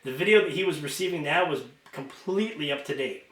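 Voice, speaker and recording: A man reading a novel aloud as an amateur narrator, expressive and informal, sometimes stumbling and correcting himself. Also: a little high, fast talker. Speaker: amateur audiobook reader. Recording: roomy, echoing home recording.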